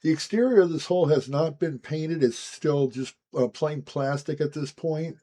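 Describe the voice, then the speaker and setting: Hoarse raspy-voiced man, mellow tone. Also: on the high side, moderate pace. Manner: relaxed, chatty, frequent "guys" and "um". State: hoarse, recovering from lost voice. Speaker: scale model building host. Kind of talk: casual step-by-step building walkthrough.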